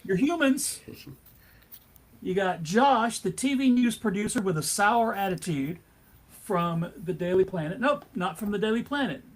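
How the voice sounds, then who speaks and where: A man with a husky, rough-edged voice narrates a live comic book reading. His delivery is theatrical and emphatic, like a showy announcer. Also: sits high, expressive, unhurried. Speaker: panelist and narrator. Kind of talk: dramatic narration for a comic table read.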